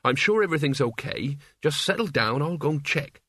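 A man's voice: fairly high pitch, lively intonation, a little fast, sharp tone, a little hiss or hum. Audiobook role: artless boy of sixteen, voiced by a man, blunt, well-meaning, naive. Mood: blunt, soothing. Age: teenage.

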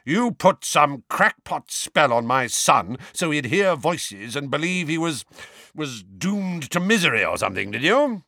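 A man voicing a loud, bullying, blustering middle-aged uncle for a storybook reading. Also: deep voice, very animated, measured pace, few pauses, slightly rough, dry recording, clean studio sound, heavily acted. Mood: angry, blustering. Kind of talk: audiobook character voice.

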